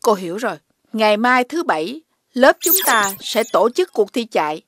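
Female schoolteacher, cartoon dub, higher pitch, instructive, measured, caring, Southern Vietnamese accent. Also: very animated, dry recording, studio quality. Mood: announcing. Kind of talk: children's animated dubbing. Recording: music behind the voice.

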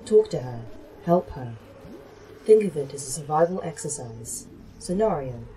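A woman playing a polite, formal A.I. in a sci-fi horror audio drama, measured and deadpan. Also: sits low. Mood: insistent. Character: polite, literal-minded A.I. of secret facility. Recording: long hall reverb, faint background ambience.

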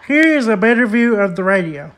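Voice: mid-range voice, sing-song, brisk, a bit bright. A hobbyist host giving a hands-on repair demonstration, explaining each step in a plain way. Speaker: vintage radio repair hobbyist and video host.

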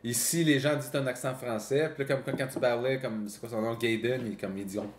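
A man's voice: mid-range voice, steady tone, fast, background noise. A man in a casual chat who talks hesitantly, with many fillers and repeated words. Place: small indoor room at a table. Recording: echoey room.

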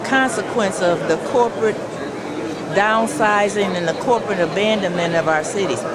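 A woman union delegate giving earnest commentary on community issues, halting as she searches for words. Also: medium pitch. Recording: loud busy crowd noise behind. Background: loud crowd in a busy public space.

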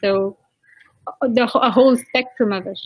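A woman's voice: a little high, normal speed, a little hiss or hum, rough recording. Irish woman cardiologist on a video call. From Ireland. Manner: fluent, repeats words while searching for them. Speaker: interventional cardiologist. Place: small room indoors. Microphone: video call, laptop mic.

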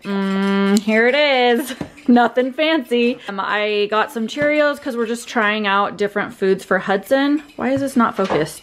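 Chatty young mom showing groceries. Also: female voice, mid-range voice, slight background noise. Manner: chatty, upbeat, rambling with fillers. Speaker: vlogger. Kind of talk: casual grocery haul chat. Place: home kitchen.

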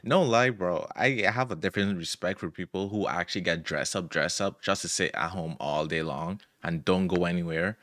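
A man's voice: deep voice, normal speed, hardly any pauses, fairly bright tone, no room sound, clean studio sound. A young man streaming from a home room at a desk mic, reacting in loose, incredulous slang. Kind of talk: casual reaction commentary. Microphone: studio mic at desk, wearing headphones.

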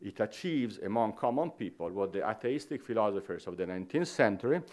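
A middle-aged man with an Italian accent gives an academic conference lecture at a podium, reading dense prose in a measured way with small restarts. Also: lowish voice. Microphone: podium microphone.

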